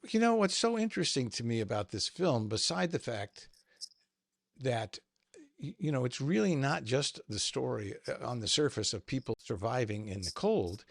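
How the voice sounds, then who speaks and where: A man interviews a filmmaker. He asks long, winding questions in a gravelly voice. The sound is dry and close. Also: mid-range voice, animated, moderate pace, dry recording.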